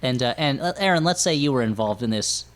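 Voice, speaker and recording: A man co-hosting a comedy podcast in casual chat, making wry, quick-witted quips. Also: medium pitch, expressive. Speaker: podcast co-host.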